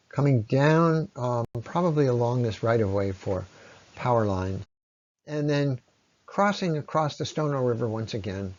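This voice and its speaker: A man presents informally over slides in a medium-pitched voice. He explains things step by step, pausing haltingly as he goes. The voice-over recording is slightly dull and lo-fi. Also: darkish tone. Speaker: presenter on local ecology.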